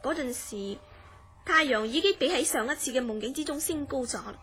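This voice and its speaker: An actress with a Hong Kong Cantonese accent playing an earnest woman who recounts a friend's eerie dreams in a radio drama, in measured, serious storytelling. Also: sits high, lively intonation, a bit bright, husky, full character voice. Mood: grave recounting.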